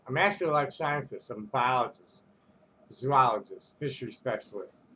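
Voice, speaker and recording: A man's voice: medium pitch, expressive, moderate pace, bright, forceful tone, slight background noise. A man ranting to camera in a casual vlog, rambling and profane, stumbling over restarts and chuckling between phrases. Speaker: vlogger.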